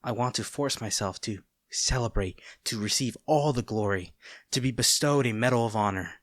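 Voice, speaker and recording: A man playing a conflicted heroic captor in a dramatic audio roleplay, earnest and halting, tender toward an old friend turned enemy. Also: medium pitch, a bit bright, no room sound, studio quality, lightly acted. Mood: wry, conflicted. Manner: earnest, halting, formal wording with dramatic pauses.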